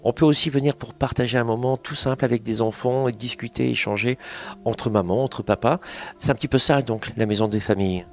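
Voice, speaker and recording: A man's voice: mid-range voice, fairly quick. A man hosting a conversational radio interview, summing things up in short phrases, recorded in a small kitchen. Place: small kitchen of a community family centre.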